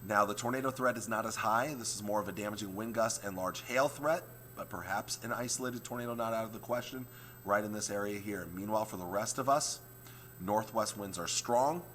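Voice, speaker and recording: A male meteorologist, hoarse and struggling with his voice, walking viewers through the morning forecast. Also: lowish voice, a little fast, a bit bright, a little hiss or hum. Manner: explains maps step by step, repeats points.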